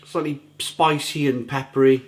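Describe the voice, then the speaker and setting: A middle-aged man with a gruff, slightly rough throat talks casually to camera as he reviews a beer. He is enthusiastic and savoring, often repeating words as he describes the taste. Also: medium pitch, steady tone, normal speed. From England. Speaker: beer reviewer, channel host.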